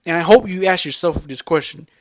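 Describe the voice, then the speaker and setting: A vlogger speaks with a fairly high-pitched voice as he rants at the camera. His delivery is rambling and emphatic, circling back on the same points and peppered with curses. The sound is dry, with almost no room echo. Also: brisk, a bit bright. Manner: rambling, repetitive, profane and emphatic. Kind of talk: unscripted rant to camera.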